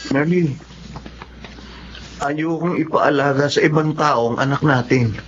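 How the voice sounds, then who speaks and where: A man's voice: fairly high pitch, unhurried, slight background noise, natural voice. Radio drama husband: young Filipino man, protective, objecting, muffled audio, actor performing. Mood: disapproving.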